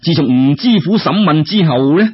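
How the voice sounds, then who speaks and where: A man's voice: on the high side, expressive, normal speed, slightly rough, highs cut off. A man with a Cantonese accent narrating a traditional story in a colloquial way, speaking straight to his listeners. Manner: colloquial, addresses listeners directly.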